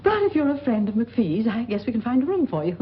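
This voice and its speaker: A woman's voice: fairly high pitch, sing-song, fast talker. An actress playing a scatterbrained, kindly, chattering landlady in a 1940s film. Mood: flustered, kindly. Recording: muffled old film soundtrack with hiss.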